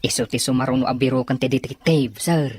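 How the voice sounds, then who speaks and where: A woman's voice: extremely low pitch, steady tone, a little fast, darkish tone, breathy edge. A woman playing a deferential, concerned aide in a radio drama. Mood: respectful, concerned.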